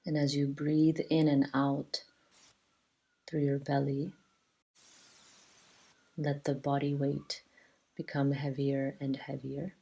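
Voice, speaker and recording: A woman teaching a guided yoga relaxation in a soothing, measured way, leaving long pauses between short phrases. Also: rumbling low, completely flat delivery, gravelly, mellow, husky, poor audio.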